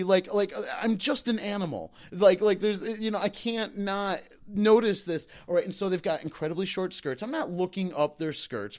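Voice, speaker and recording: High-voiced man rambling through stories. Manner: rambling, profane, repeats "like". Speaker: podcast co-host. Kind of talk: casual comedic storytelling.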